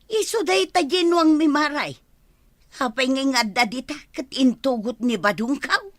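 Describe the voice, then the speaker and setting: An actress playing an excitable, fretful village mother in an Ilocano comedy radio drama, with an Ilocano accent. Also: high-pitched, a little slow, no room sound. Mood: excited. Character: excitable village mother fretting over her son.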